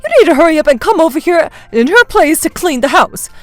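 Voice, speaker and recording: Bossy older mother-in-law played by a woman narrator, high and loud, scolding and demanding, for a family drama story. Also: big pitch swings, brisk, dry recording, faint music behind. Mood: bossy, complaining. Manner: demanding, accusatory, shouted scolding.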